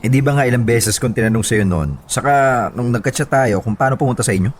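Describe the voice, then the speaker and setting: An actor with a Filipino accent playing an eager, sweet-talking young boyfriend in a radio drama. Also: male voice, medium pitch, fast, breathy edge, lightly acted. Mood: tender. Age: young adult. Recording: loud music bed behind voice.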